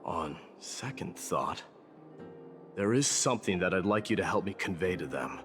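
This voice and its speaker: A proud demigod warrior from a fantasy game, low in pitch with expressive swings in intonation. His speech is grave, formal and resigned to fate, with music playing behind it. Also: moderate pace. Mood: grave, commanding. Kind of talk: scripted fantasy game voice acting.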